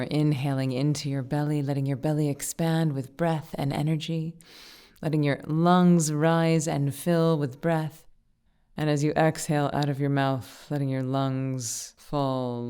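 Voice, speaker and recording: A woman with a very deep, dark and relaxed voice leads a guided meditation. Her intonation stays flat and steady. She gives gentle instructions in short, unhurried phrases, leaving pauses between them. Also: clear, resonant tone.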